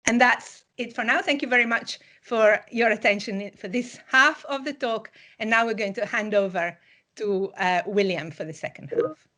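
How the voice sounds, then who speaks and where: A woman whose first language is Italian, giving an online public lecture in a measured, explanatory way with occasional fillers. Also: a little high, sharp tone. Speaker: university lecturer.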